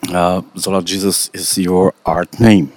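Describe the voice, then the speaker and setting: This is a very deep-voiced man hosting a radio interview. He talks at an unhurried pace, with hesitant, careful questions in a second language. His first language is Slovenian. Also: flat delivery, a little mellow, no room sound. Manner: slow, halting questions in careful non-native English.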